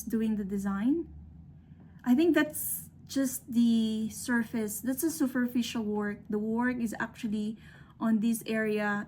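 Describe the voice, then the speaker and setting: A woman's voice: a little high, darkish tone, slight background noise. A middle-aged woman from the Philippines, whose first language is not English, giving an earnest, motivational talk to young engineers, with fillers and restarts. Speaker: speaker.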